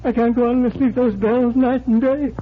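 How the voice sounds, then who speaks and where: A man's voice: very high-pitched, level tone, fairly quick. An actor playing a tormented bank teller afraid for his sanity, distraught and faltering, in 1940s radio style. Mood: tormented, agitated. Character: tormented, desperate male bank teller fearing madness. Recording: thin, lo-fi 1940s radio recording.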